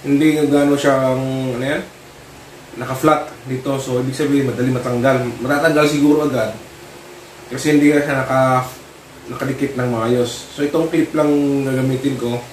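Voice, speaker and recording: A Filipino man giving a casual hands-on tutorial, talking informally in short, halting phrases as he works. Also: medium pitch, fairly even intonation. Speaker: vlogger demonstrating product installation. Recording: roomy, distant mic with clear noise.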